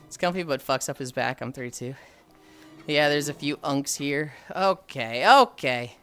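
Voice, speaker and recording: A woman's voice: a bass voice, measured pace, a bit bright. A woman in her thirties chatting casually on a livestream. She sounds tired and sardonic, sighs often, and swears freely. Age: 30s. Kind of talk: casual stream chat.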